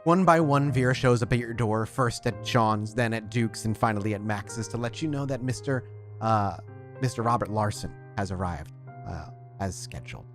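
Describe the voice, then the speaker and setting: A man's voice: lowish voice, normal speed, faint music behind. A man running a tabletop roleplay as game master, describing scenes in a loose, conversational storytelling way.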